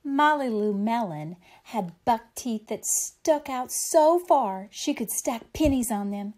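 A woman's voice: fairly high pitch, lively intonation, takes it slowly, darkish tone. A woman reading a picture book aloud expressively for young children, explaining things simply like a teacher.

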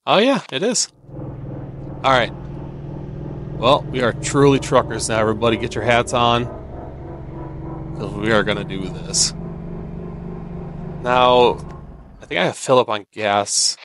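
A man's voice: mid-range voice, a little slow, long pauses, background noise. A man giving casual game commentary, thinking aloud in short phrases. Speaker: gameplay streamer.

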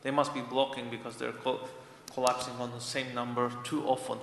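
A man's voice: mid-range voice, level tone, a little fast, creaky. A man in the audience putting a technical point analytically, in spontaneous speech. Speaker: audience member asking a question. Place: large tiered lecture hall. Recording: echoey hall, faint noise, audience microphone.

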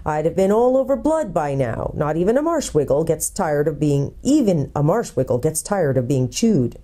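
A glum, long-limbed marsh fellow from a storybook, acted by a woman. He grumbles drily and matter-of-factly in a soft, dark tone. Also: low voice, very animated, moderate pace. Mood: dry grumbling. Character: glum, dry, long-armed male marsh creature. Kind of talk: acted audiobook character.

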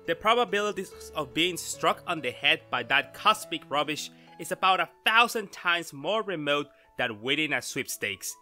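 A man acting an excitable, lecturing amateur astronomer in a theatrical, grandiose 1930s radio drama style. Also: a little high, moderate pace, few pauses, faint music behind. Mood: confident explaining.

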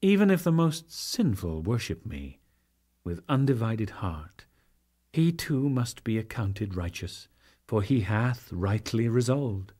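A man performing a solemn divine lord in a dramatic scripture reading, declaiming in measured phrases with deliberate pauses. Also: on the low side, sing-song, a little mellow, exaggerated voice. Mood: solemn, exalted. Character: Krishna, solemn divine lord teaching a devotee.

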